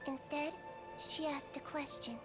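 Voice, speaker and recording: A woman voicing a small, gentle, earnest creature nurse in a video game, with a sweet girlish voice and measured storytelling. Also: high voice, little pitch movement, over music. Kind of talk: scripted game dialogue and narration.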